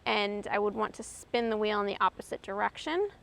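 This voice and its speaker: A woman in her thirties from Maryland, a fiber artist explaining her craft in a relaxed, chatty way with frequent ums. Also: mid-range voice, brisk, gravelly. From Maryland, USA. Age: 30s.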